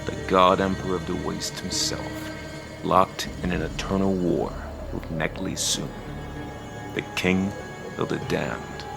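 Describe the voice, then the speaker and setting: A man playing a solemn, all-seeing immortal narrator in a dark fantasy audio drama, speaking in grave, measured phrases. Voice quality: deep, gravelly.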